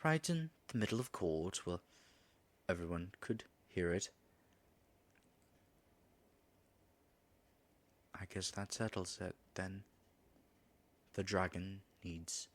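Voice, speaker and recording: A man acts out a male dragon passing as human in a fantasy audio roleplay. He is nervous and flirtatious, with a lowish voice that swoops widely up and down. He speaks slowly and haltingly, stammering and slipping into muttered asides. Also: breathy edge, heavily acted. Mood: dismayed, brooding. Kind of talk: audio roleplay addressed to the listener.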